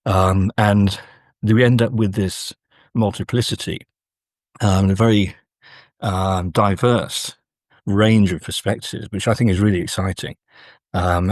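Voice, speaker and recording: A man's voice: low-pitched, slightly rough, no echo, studio quality. A man talking as an academic guest, considered and hesitant, in a scholarly conversation. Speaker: academic author, interview guest.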